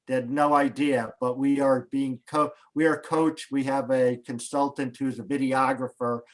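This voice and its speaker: A man's voice: mid-range voice, low-quality recording. Man coaching over a video call. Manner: hesitant, restarts, coaching tone. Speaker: host. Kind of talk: casual pre-show video call chat.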